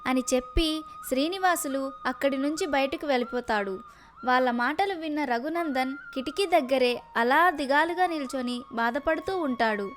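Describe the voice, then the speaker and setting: Casting: female storyteller for kids' moral tales, high-pitched, scripted narration over faint music. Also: normal speed.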